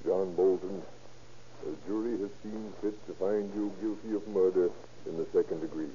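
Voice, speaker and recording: An actor playing a stern, solemn judge with formal delivery in a 1930s radio drama. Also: male voice, low voice, steady tone, moderate pace, mellow, close to an everyday voice. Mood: grave. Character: stern, grave male judge passing sentence. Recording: muffled, noisy 1930s radio recording.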